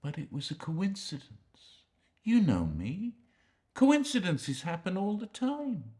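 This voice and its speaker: An actor playing a sly, self-pitying jailed man who swings between hushed confiding and sudden outbursts. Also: on the high side, big pitch swings, slow. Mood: hushed, wheedling. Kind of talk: dramatic monologue to a visitor. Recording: echoey room.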